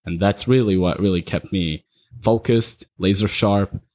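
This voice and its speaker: Young man from Iraq, low-pitched and candid. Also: a little slow, a little mellow. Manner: candid, rambling, slangy. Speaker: guest, party promoter. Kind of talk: casual podcast chat.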